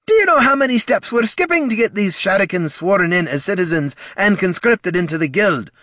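Man voicing a fussy old dwarf guildmaster, pompous, snappish, raised pitch, lively swoops. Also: fairly quick, no echo, studio quality, heavily acted. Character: gruff older male dwarf guildmaster, grudging.

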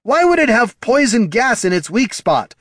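Quipping adventurer bard, done by a male narrator: glib, incredulous, lifted pitch, very animated. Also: normal speed, no echo, clean studio sound. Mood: indignant, incredulous. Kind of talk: fantasy audiobook character voice.

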